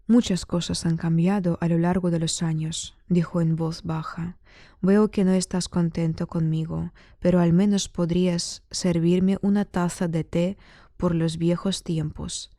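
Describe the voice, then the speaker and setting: Mellow-voiced woman narrating a story. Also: fairly low pitch, no echo, clean studio sound. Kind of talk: scripted dramatic story narration.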